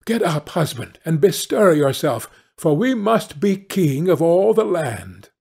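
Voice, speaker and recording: A man's voice: a little high, very animated, unhurried, creaky, darkish tone, slightly rough, pitched above the performer's own voice, full character voice. A man voicing a greedy, domineering fisherman's wife in a fairy-tale audiobook, imperious and demanding, stretching out the words. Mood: bossy.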